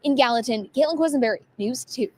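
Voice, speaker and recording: A woman's voice: high voice, quick pace, darkish tone. An American woman delivering a scripted television news report as a field reporter.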